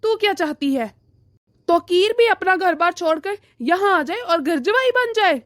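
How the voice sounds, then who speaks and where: A woman voicing a weary, moralizing middle-aged father who lectures his family in an animated drama. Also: very high voice, moderate pace, no echo, studio quality. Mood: reproachful. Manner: admonishing, lecturing.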